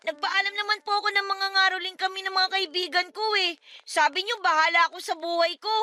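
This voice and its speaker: Plaintive, high-pitched Filipino child. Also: female voice, level tone, fairly quick, sharp tone. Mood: hurt, timid. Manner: polite, plaintive, pleading. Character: young poor child, hurt and pleading with mother. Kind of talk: Filipino radio drama acting.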